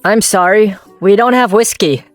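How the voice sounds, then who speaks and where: A woman's voice: medium pitch, normal speed, no room sound, soft background music, close to an everyday voice. Woman voicing a wistful young male office worker. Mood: firm, concerned. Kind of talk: manga dub narration and dialogue.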